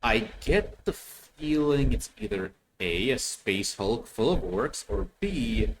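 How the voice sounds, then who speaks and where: A man hosting a reaction video with casual commentary, speaking in a hesitant, halting way and often repeating words. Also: mid-range voice, big pitch swings, noisy background.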